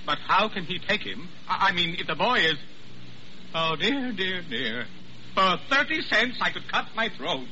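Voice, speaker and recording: Fussy male administrator, high sharp voice, pompous and flustered, vintage radio sound. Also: expressive, measured pace, exaggerated voice. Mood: despairing. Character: fussy, panicky, indignant male hospital administrator. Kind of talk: 1940s radio drama acting. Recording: noisy, dull old radio recording.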